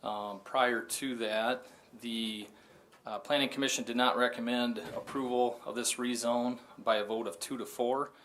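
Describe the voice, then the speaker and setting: An American man, a public works director, delivering a formal staff report in a halting, matter-of-fact manner. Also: medium pitch, fairly bright tone, slight background noise.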